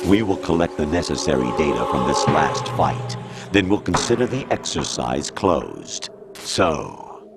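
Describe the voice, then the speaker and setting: An actor playing a cold, commanding artificial intelligence that speaks in a calm, measured, menacing way, in a video game cutscene. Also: male voice, a bass voice, creaky, exaggerated voice. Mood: mocking. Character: cold commanding AI posing as a colonel. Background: loud tense score.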